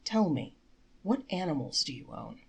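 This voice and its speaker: A woman voicing a gentle, grave male rabbi in a storybook, with a serene, measured manner. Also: low-pitched, big pitch swings, gravelly, slightly rough, exaggerated voice. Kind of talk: storybook character voice.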